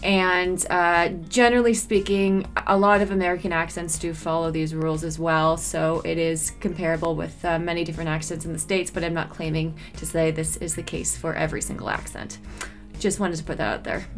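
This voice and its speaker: A young woman with a Toronto Canadian accent, adding a measured voice-over clarification to her video. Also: on the low side, flat delivery. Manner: measured, explanatory. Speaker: vlogger. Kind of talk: voice-over correction. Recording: faint music underneath. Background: soft background music.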